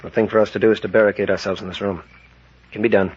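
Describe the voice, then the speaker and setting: Fast, husky adventurer captain. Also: male voice, on the low side, little pitch movement. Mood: commanding. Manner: clipped, commanding. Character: decisive adventurer captain taking command. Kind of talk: 1940s radio drama acting. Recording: dull vintage radio recording.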